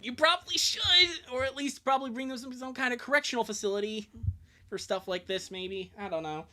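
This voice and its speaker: A streamer giving casual, sarcastic live commentary on a fan comic, jokey and quick to swear. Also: medium pitch, bright, forceful tone. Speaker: streamer and dub performer.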